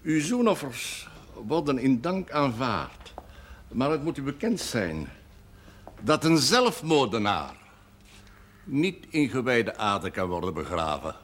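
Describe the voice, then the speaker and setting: TV drama role: dignified village priest, dry, authoritative, expressive pitch. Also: male voice, heavily acted. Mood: stern, dry. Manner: measured, formal, authoritative. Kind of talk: television drama acting.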